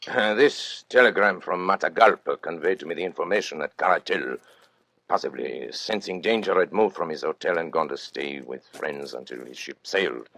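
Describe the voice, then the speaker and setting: An actor playing a suave, vain, cold-blooded master criminal, speaking with pompous, precise courtesy in old-time radio suspense style. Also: male voice, very deep voice, normal speed, vocal fry, sharp tone, dry recording, full character voice. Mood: coolly recounting.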